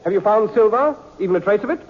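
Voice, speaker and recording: A man's voice: high-pitched, lively intonation, fast. An actor playing a scheming, superior man in a radio western, pompous and insistent in his oratory. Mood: accusing. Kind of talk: old-time radio western acting. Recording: dull old radio recording.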